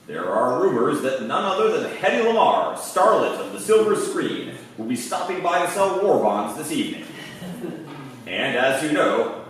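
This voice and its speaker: A man's voice: a little high, far from the mic. A man giving scripted announcements in the style of a 1940s radio show. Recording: echoey stage from afar, faint noise.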